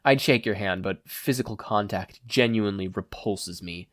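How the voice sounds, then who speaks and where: A man's voice: fairly low pitch, normal speed, no room sound, studio quality, close to an everyday voice. A man playing a curt, commanding crime boss. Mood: cool, commanding. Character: brusque, businesslike adult male Yakuza boss.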